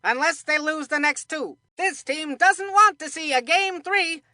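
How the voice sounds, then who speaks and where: A woman voicing an excitable, folksy, opinionated kid sports commentator in a scripted cartoon baseball game. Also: sits high, a little slow, no room sound, clean studio sound. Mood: eager, opinionated. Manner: folksy, emphatic, addresses a broadcast partner.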